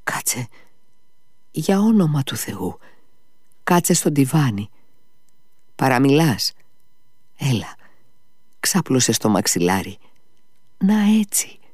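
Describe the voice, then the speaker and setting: A man voices a gentle young man who is frightened and pleading. He talks in a hushed, anxious way and often drops to a whisper. His voice sits low and sounds dry and close. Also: no room sound.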